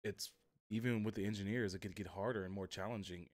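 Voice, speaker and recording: A man giving casual, halting commentary as he livestreams a game and walks viewers through it, thinking aloud. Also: fairly low pitch, fairly even intonation. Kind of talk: casual gameplay commentary and walkthrough. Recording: clearly noisy home stream audio.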